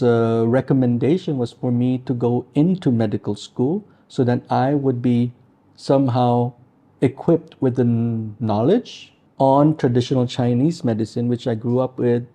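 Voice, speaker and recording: A man in his forties from the Philippines, a doctor answering interview questions in a measured, explanatory way. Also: medium pitch, mellow. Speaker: integrative medicine doctor.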